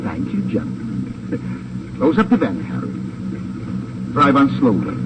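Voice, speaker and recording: Noisy vintage radio recording, smooth German swindler, very animated intonation, courteous, calculating, German accent. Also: male voice, lowish voice, far from the mic. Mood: smoothly insinuating. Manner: formal, polite, deliberate, sly. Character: suave, unflappable German male smuggler and swindler. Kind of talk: 1950s radio crime drama acting. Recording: noisy, dull old 1950s radio recording.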